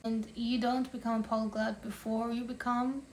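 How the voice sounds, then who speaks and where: A woman's voice: on the high side, flat delivery, normal speed, a little mellow, a little hiss or hum. A young woman giving casual, chatty advice on her vlog, with a sprinkling of fillers. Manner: chatty, frequent "like" fillers, upbeat. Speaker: language-learning vlogger.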